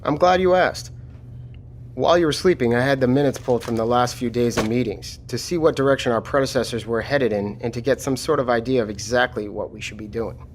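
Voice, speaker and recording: A man playing a steady, matter-of-fact military lieutenant taking charge after a disaster in an audio drama. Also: mid-range voice, a little fast, a little hiss or hum, natural voice. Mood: businesslike.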